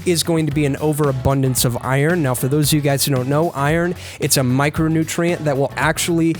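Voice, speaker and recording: A man with a slightly raised pitch explains lawn care in an upbeat, chatty tutorial. He keeps talking directly to his viewers as "you guys." Soft music plays faintly under his voice. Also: fairly even intonation, brisk.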